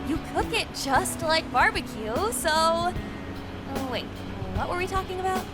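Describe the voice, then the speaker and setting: An actress playing an excitable, playful teenage girl in an English game dub, with a bubbly, casual manner. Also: very high voice, unhurried. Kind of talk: English anime-style game dub acting. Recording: loud music under the voice.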